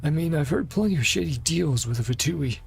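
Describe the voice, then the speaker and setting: A man's voice: fairly high pitch, expressive, a little fast, vocal fry, a little hiss or hum, pitched above the performer's own voice. A man voicing a wary, grumbling city guard in a fantasy game, talking in a suspicious, defensive way. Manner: defensive, suspicious, reasoning aloud.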